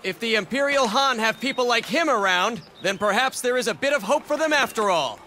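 High-voiced, brash young warlord. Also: male voice, animated, fairly quick, bright, forceful tone, slight background noise, heavily acted. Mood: confident. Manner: informal, cocky, eager. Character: brash, hot-headed young warlord of ancient China. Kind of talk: dramatic video game dubbing.

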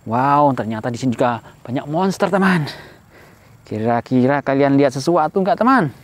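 A man's voice: mid-range voice, expressive, normal speed. A man hosting a playful toy-hunt vlog, exclaiming and putting frequent questions to his viewers. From Indonesia. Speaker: host of a children's toy-hunt video channel.

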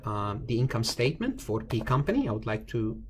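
A man's voice: medium pitch, sing-song, fairly quick, background noise. A man giving an informal step-by-step tutorial as a lecturer, often repeating and rephrasing himself in a conversational way. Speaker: accounting lecturer. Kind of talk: informal screen-recorded tutorial, explaining step by step.

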